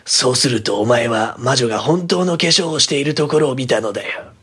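A man voicing a sinister old witch, a sly and unhurried aunt with knowing, old-fashioned phrasing, in a horror storybook reading. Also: medium pitch, a bit bright, breathy edge. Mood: sly, menacing.

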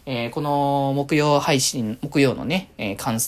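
A man's voice: medium pitch, unhurried. A man hosting a solo podcast in a casual, rambling way, often correcting himself as he goes. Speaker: podcast host.

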